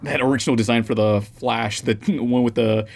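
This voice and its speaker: A man's voice: mid-range voice, fairly even intonation, moderate pace, vocal fry. Chatty man asking questions on a podcast. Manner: chatty, laughing asides, asks questions. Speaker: podcast host. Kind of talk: casual podcast chat.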